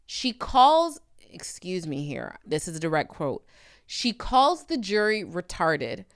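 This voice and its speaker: A woman's voice: on the low side, big pitch swings, takes it slowly. A woman giving conversational legal commentary, speaking directly to the viewer in an emphatic, opinionated manner. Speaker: legal commentator hosting a video channel.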